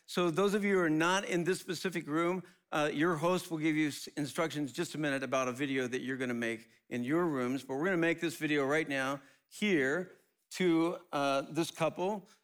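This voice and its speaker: A man with a slightly high voice hosts a live church service. He talks in an upbeat way and rallies the crowd between segments. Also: fairly even intonation, normal speed, a bit bright, clean tone. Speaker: church host.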